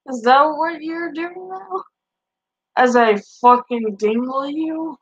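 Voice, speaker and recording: A woman's voice: high-pitched, slow pace, dry recording. A woman in her twenties with a Boston accent, trash-talking her chat on a live stream from a small bedroom through a headset mic. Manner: brash, profane trash talk. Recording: dull lo-fi stream audio.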